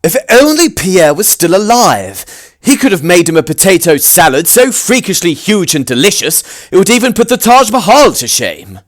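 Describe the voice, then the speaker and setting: A man acts a flippant detective in a radio drama, high in pitch. He talks in wordy, wry lines with extremely lively intonation. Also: normal speed. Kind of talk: amateur radio drama acting.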